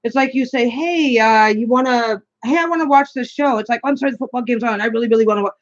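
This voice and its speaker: A woman's voice: fairly high pitch, quick pace. Scratchy-voiced woman chatting on a live stream. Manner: rambling, many restarts and repeats. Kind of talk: casual live-stream chat. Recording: dull lo-fi stream audio.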